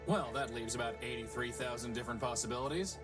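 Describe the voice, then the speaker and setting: A man's voice: mid-range voice, fast, natural voice. A man voicing a small, sarcastic, eager robot sidekick in a video game, with wry, quipping delivery. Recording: loud music under the voice.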